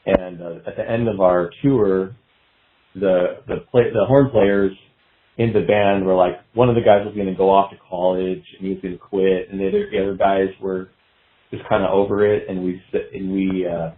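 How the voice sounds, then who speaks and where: Male interview guest, very low voice, casual and meandering, roomy lo-fi recording. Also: steady tone, normal speed. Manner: hesitant, meandering, filler-heavy. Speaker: guest musician, guitarist. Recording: dull lo-fi roomy call sound.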